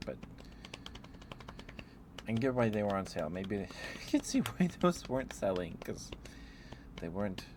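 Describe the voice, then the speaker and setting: Male ASMR streamer, lower register, gentle drowsy casual delivery, close mic with clear background noise. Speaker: live streamer. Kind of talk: rambling ASMR livestream chat.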